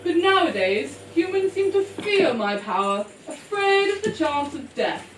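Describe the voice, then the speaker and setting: A woman's voice: sits high, very animated, a little slow. A woman voicing a haughty, ominous old mushroom wizard in a theatrical puppet show, with a grandiose, condescending delivery. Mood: ominous, mysterious. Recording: roomy, distant live bootleg, faint noise.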